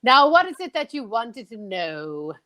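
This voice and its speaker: A woman's voice: fairly high pitch, sing-song, unhurried, pushed and bright, heavily acted. A woman voicing a busy, haughty male department store floor walker in old-time radio comedy style, curt and drawling. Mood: haughty, impatient.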